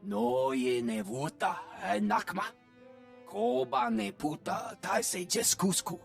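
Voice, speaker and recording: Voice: medium pitch, slow, creaky. An actor voicing an alien who chatters in an invented alien tongue for a video game. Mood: animated. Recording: background music.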